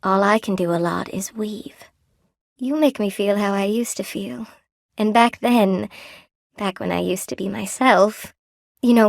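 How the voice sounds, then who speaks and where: An actress voicing a friendly, lonely weaver spider woman in a video game, with a gentle, quaint, expressive and chatty manner. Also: mid-range voice, gravelly, dry recording, studio quality. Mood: tender, wistful. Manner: gentle, quaint, folksy, expressive, self-effacing. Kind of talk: video game character acting.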